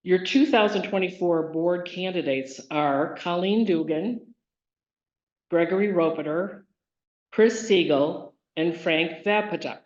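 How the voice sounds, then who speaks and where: A committee member reads prepared remarks in a measured, formal voice. The voice echoes through a large meeting hall, and the recording sounds dull and muffled. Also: medium pitch.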